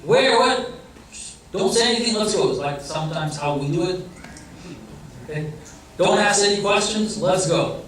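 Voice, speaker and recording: A man's voice: high-pitched, expressive, normal speed, long pauses, a bit bright, a little hiss or hum. A man giving a religious lecture in a conversational way, often putting questions to the audience. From Iranian family background. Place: large hall or center. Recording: very echoey hall, heard from a distance.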